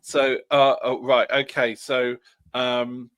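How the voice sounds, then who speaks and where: A man with a middle-pitched voice chats as a podcast panellist. He sounds enthusiastic and informal, often stopping and restarting as he builds a point. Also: flat delivery, slow pace, fairly bright tone. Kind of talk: casual panel discussion.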